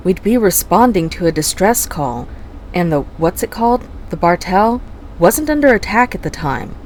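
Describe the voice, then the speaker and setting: A woman's voice: on the low side, big pitch swings, normal speed, a little mellow, a little hiss or hum, poor audio. A woman playing a defiant, sarcastic fighter pilot who argues with her commander in a fan audio drama. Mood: sardonic. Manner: sarcastic, argumentative.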